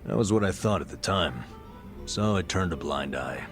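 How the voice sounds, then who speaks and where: A man's voice: sits low, lively intonation, normal speed. An actor playing a burned-out, middle-aged former nurse in a video game, telling his story with an American accent and a wry, self-deprecating weariness. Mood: wry, regretful. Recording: music behind the voice.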